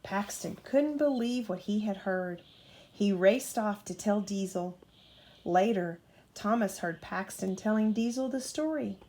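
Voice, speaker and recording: Slow-paced woman reading a storybook. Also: mid-range voice, a little hiss or hum. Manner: expressive, acts out character dialogue. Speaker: mother reading a bedtime story. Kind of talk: children's storybook read aloud.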